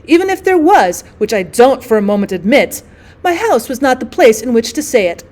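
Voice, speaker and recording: A woman reading as a haughty, animated society lady. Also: on the high side, fairly quick. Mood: indignant. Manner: wordy, haughty, emphatic storytelling. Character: genteel, indignant, drily witty woman recounting colonial mishaps.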